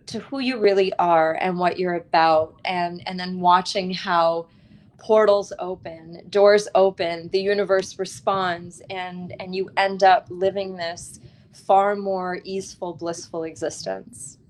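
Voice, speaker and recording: A woman speaking as an interviewed author, earnest and reflective, with deliberate, emphatic phrasing and pauses. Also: on the low side, steady tone.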